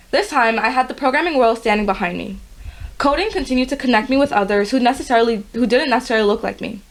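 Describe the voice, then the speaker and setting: Young woman reading her essay, higher voice. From Guyana. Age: young adult. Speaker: podcast guest, college-bound student.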